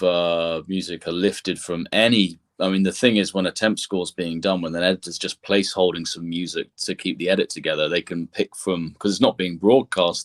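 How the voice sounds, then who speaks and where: Deep-voiced man explaining in an interview. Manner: discursive, restarts and repeats words while explaining. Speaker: composer and sound engineer, interview guest.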